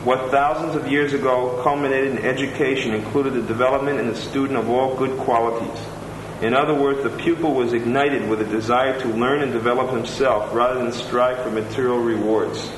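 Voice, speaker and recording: Man reading reviews aloud in an echoey room. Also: medium pitch. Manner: reads steadily, adds brief asides. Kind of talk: reading aloud in informal conversation. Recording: echoey room with loud noise.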